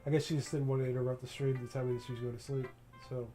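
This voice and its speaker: A man's voice: medium pitch, little pitch movement, racing, warm tone. A man livestreaming a visual novel, casually commenting and reading the game text aloud, with game music behind him.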